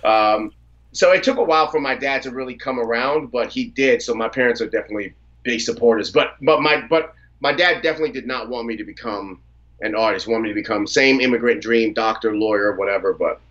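A man in his thirties talks over a video call, relaxed and chatty, easily slipping into laughter as he tells his stories. His voice sits in a middle range, and the call audio sounds a little dull and lo-fi. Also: mid-range voice, brisk. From United States, Washington DC area. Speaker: guest rapper. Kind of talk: casual interview on a video call. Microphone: video call from a phone or laptop.

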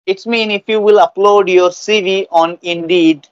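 Young indian guy teaching spoken english, smooth voice, repeats himself for emphasis, dry slightly dull call audio. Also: high-pitched, fairly even intonation, moderate pace, no room sound. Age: young adult. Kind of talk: conversational English speaking practice. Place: indoors, on a video call.

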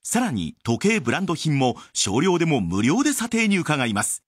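A man's voice: fairly high pitch, huge pitch swings, moderate pace, slightly rough, no room sound, clean studio sound. A man reading a scripted radio advertisement as a commercial announcer.

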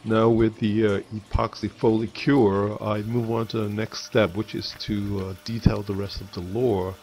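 A man with a fairly low voice narrates a hands-on hobby tutorial. His delivery is casual and somewhat halting, with small hesitations as he explains each step. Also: little pitch movement. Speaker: hobby lure-making video host.

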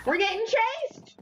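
A woman streaming a game with friends, chatting casually, yelping and shouting at the action and using mild minced oaths instead of swears. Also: extremely high pitch. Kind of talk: casual gaming chat. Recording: home stream mic with noise and keyboard clicks.

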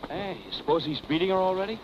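An American actor playing a flippant, carefree husband with dry, glib delivery in a 1940s film. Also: male voice, high-pitched, quick pace, background noise, lightly acted. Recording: dull 1940s film soundtrack.

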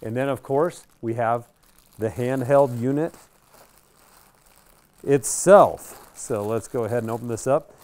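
A man's voice: medium pitch, unhurried, pauses often, darkish tone. A middle-aged American man presenting a product in a casual, conversational way, thinking aloud as he goes. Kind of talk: casual unboxing commentary. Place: indoor radio workshop.

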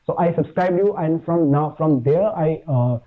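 An Indian-accented man whose first language is Hindi talks casually as a guest, stopping often to search for words. His voice sits a little high. It comes through a thin, phone-like line. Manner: halting, many fillers and restarts. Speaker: podcast guest, fitness YouTuber. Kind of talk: casual chat.

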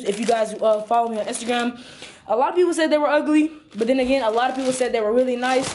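A teenage boy with an American accent, chatting casually and slangily to the camera as a vlogger. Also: very high-pitched. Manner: slangy, informal. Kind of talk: casual vlog chat to camera. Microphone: camera at arm's length.

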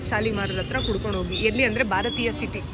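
A woman's voice: mid-range voice, fairly even intonation, very fast, very noisy. A woman chatting to viewers at an outdoor food area at night, recommending a café. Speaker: vlogger. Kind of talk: casual vlog narration. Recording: loud music and crowd behind.

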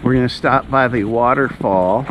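A man's voice: mid-range voice, moderate pace, dull audio. A middle-aged man hosting a casual walking vlog, chatting as he goes along a trail outdoors. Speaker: vlog host on a hike. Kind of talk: casual chat while walking. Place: outdoors on a snowy woodland trail. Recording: noisy outdoor recording, walking on snowy trail.

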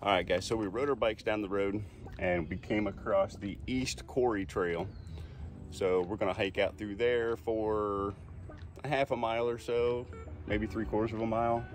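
A man's voice: lowish voice, fairly even intonation, moderate pace. A middle-aged man chatting upbeat to a phone camera at arm's length outdoors, hosting a casual RV travel vlog. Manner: upbeat, easygoing narration to camera. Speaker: RV travel vlogger. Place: outdoors on an island, streets, patios and trails.

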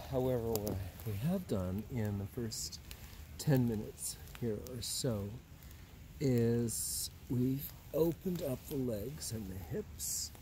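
A man in his fifties talking slowly and musingly while doing yoga in a forest, slightly out of breath, recorded on a phone camera set on the ground. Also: mid-range voice, animated, slight background noise. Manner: slow, musing, drawn-out phrases with sighs and soft laughs. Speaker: yoga instructor. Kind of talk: meandering vlog talk while doing yoga. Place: outdoors in a forest.

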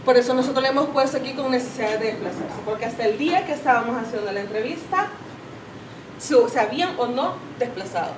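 Higher-voiced woman presenting in a room. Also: lively intonation, quick pace. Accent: Salvadoran Spanish. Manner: explanatory, self-correcting, many fillers. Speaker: conference speaker. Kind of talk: presenting data at a seminar. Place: conference room with audience. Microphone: far camera, no mic seen. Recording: roomy, distant camera audio with background noise.

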